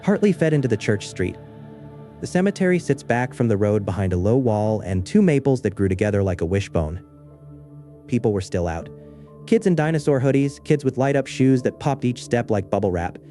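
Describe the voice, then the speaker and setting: Bright-voiced man narrating an eerie story. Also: mid-range voice. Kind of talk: scripted first-person horror story narration.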